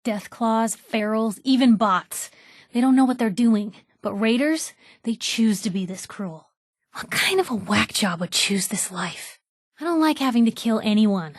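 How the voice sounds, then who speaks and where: A woman's voice: fairly high pitch, expressive, a little slow, dry recording, studio quality. An actress playing a plucky young woman reporter, a video game companion with a wry, conversational, sardonic way of talking. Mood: scornful.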